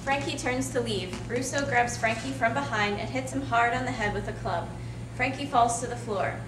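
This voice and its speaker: A woman's voice: a little high, normal speed. A woman reading scripted stage directions as a narrator. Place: indoor room, live staged reading. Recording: echoey room, distant mic, clear noise.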